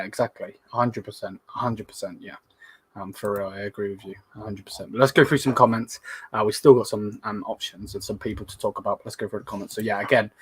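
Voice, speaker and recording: A man hosting a casual live stream, slightly hoarse, rambling with lots of hesitant fillers as he reads viewer comments. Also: lowish voice. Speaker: host of a live fan stream.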